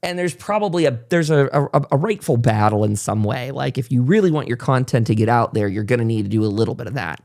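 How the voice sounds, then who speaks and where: A man's voice: mid-range voice, animated, quick pace, few pauses, darkish tone. A man hosting a casual interview podcast, talking in a rambling, reflective way as he thinks aloud. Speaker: podcast host and content creator.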